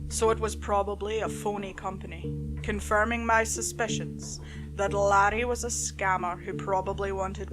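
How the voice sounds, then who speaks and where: A woman reading a scripted creepypasta story as host and narrator. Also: mid-range voice. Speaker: host and narrator of horror stories. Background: music.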